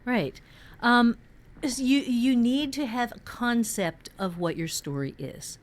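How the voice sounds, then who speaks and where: A woman's voice: fairly high pitch, slow. A woman giving casual, emphatic advice on fiction writing as a podcast host, explaining with playful asides.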